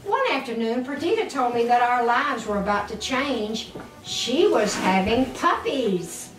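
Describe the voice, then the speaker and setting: An older woman reads a children's story aloud in a highish, very animated voice, taking her time over each phrase. She turns aside to speak fondly to young listeners. The recording is echoey and distant, with music clearly playing underneath. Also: noisy background. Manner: expressive, deliberate, doting toward the children.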